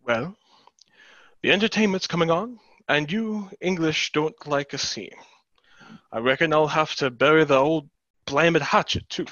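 A man's voice: on the high side, measured pace, sharp tone, no echo. A young man in a play reading, acting a hot-headed, proud, indignant young businessman, recorded on a headset. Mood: grudging. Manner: brash, indignant. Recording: dull headset video-call audio.

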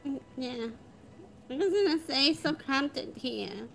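Woman as a slow, whiny high-voiced little girl. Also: expressive, creaky, slight background noise, heavily acted. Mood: sleepy. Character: tired, grumbling young daughter. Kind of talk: improvised video game family roleplay.

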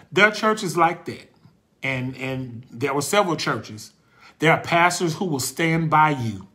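A man in his forties hosting a faith talk, speaking passionately in a preacherly way, repeating phrases for emphasis and pausing to search for words when he turns reflective. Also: a little high, pushed and bright. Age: 40s. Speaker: host.

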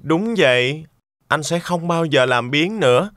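An older-brother pig in an animated fairy tale. He sounds earnest and repentant. The recording is close and dry. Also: on the high side, measured pace, no room sound.

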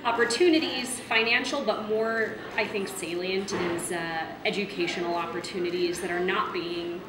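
A woman giving measured, articulate interview answers in an indoor room. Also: medium pitch, steady tone. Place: indoor room with mural wall. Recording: echoey room with crowd noise.

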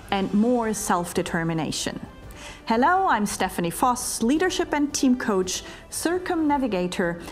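A middle-aged woman delivers a scripted pitch for her keynote with a fairly high, lively voice that rises and falls a lot. She speaks slowly and deliberately, leaning on dramatic pauses. Faint music plays under her studio lapel recording. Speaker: keynote speaker and leadership coach. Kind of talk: scripted promotional monologue to camera. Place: studio before a green screen, edited onto a virtual ship deck. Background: faint music bed.

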